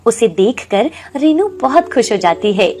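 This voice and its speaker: A woman's voice: fairly high pitch, big pitch swings, measured pace, background noise. A woman narrating a scripted moral story.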